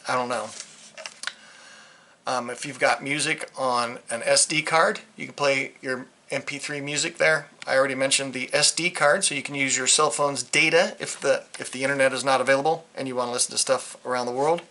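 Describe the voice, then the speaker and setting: Male hobbyist reviewer, bright sharp tone, medium pitch, unscripted and hesitant, rambling delivery. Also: fairly even intonation, fairly quick. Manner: rambling, hesitant, trails off mid-thought. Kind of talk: casual unscripted gadget review.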